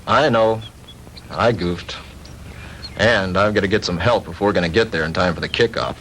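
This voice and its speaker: Brisk annoyed young boyfriend in an old 60s b-movie, lowish voice, faint noise. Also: male voice, a bit bright. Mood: brisk, insistent. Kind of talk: 1960s B-movie acting. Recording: noisy 1960s film soundtrack.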